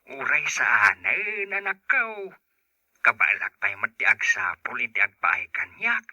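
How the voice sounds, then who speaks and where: Actor as sharp-voiced caring Filipino father. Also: medium pitch, very animated, measured pace, dry recording, exaggerated voice. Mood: concerned. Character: caring, self-denying older father. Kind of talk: radio drama acting.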